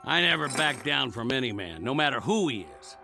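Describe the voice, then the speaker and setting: A man's voice: medium pitch, big pitch swings, a little fast, gravelly, sharp tone, soft background music, heavily acted. A man acting a defiant, remorseless condemned cowboy in a Western audio drama, with a sardonic, cocky, folksy way of talking. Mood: defiant, mocking.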